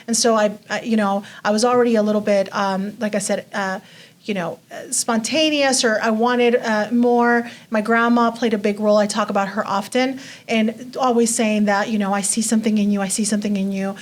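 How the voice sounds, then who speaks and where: A woman's voice: medium pitch, steady tone, a little fast. A woman in her forties, from Mexico, telling her life story in a casual, chatty way with many fillers. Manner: chatty, lots of fillers like "you know" and "like". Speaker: interview guest.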